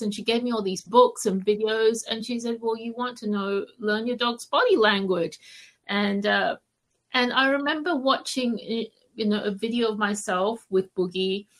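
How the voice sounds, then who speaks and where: A woman from Malaysia speaking as a podcast guest, telling stories casually with hesitant, conversational pauses. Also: medium pitch. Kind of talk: casual interview storytelling.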